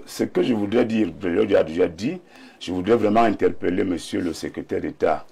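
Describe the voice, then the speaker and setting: Gravelly Cameroonian man with a cold. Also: low voice, a little mellow. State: slight cold, throat clearing. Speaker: panelist. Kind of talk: live debate commentary.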